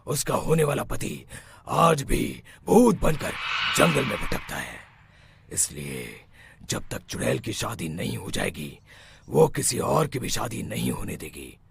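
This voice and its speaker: A man voicing a confident male exorcist in a cartoon, calm and authoritative, sometimes low and hushed. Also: a little slow, creaky, slight background noise, heavily acted. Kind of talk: cartoon voice acting.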